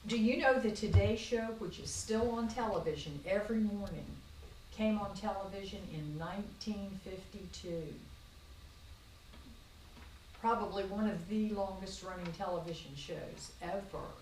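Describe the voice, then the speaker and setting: A woman's voice: medium pitch, a little slow, darkish tone, recorded from a distance, a little hiss or hum. Sixty-eight-year-old grandmother, echoey room. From Virginia, USA. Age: 60s. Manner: friendly, informative, hands questions to her husband. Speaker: grandmother being interviewed. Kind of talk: family interview, casual recollection. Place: home, beside a fireplace.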